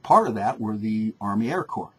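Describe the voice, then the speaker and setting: A man's voice: on the low side, sing-song, moderate pace, breathy edge. A man lecturing informally, explaining in a conversational, didactic way and often rephrasing for emphasis. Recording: faint background noise.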